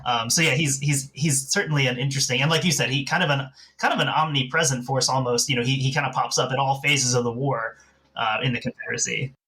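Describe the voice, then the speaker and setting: A man's voice: mid-range voice, fairly even intonation, quick pace, bright, forceful tone, slight background noise, poor audio. A man in a casual podcast discussion, talking in a loose, discursive way with lots of hedging filler. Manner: hesitant, discursive phrasing with frequent "like". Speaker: podcast co-host.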